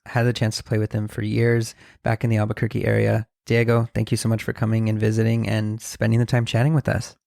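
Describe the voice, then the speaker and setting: A man's voice: lowish voice, creaky, a little mellow. A man hosting a podcast interview, chatting in an upbeat, friendly way with his guest. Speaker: podcast host. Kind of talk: casual interview chat.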